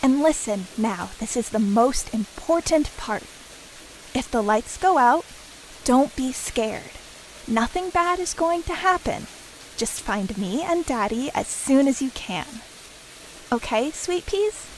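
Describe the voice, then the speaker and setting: A woman plays a caring mother in an audio horror drama. Her voice is notably high, and she gives instructions patiently and reassuringly. Faint hiss runs behind her. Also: expressive, slow, rough recording. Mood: calm, reassuring.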